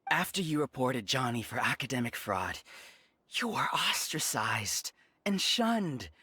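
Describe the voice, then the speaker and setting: A suave scheming researcher for a video game. He is insinuating and theatrically polite, with a lively, swinging intonation that works to persuade. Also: medium pitch, unhurried, breathy edge, dry recording, exaggerated voice. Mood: persuasive, insinuating. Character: suave, scheming male researcher, a soft-spoken persuasive recruiter.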